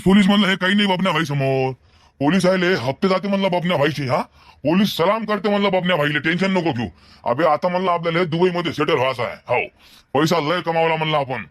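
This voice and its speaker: Swaggering comic gang boss played by a man, Varhadi village accent, grandly boastful, highish pitch. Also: no echo, studio quality, lightly acted. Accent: rural Maharashtra, Varhadi Marathi. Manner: bragging, cackling, refers to himself grandly. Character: boastful swaggering village gangster boss, self-styled underworld don. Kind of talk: comic cartoon dialogue.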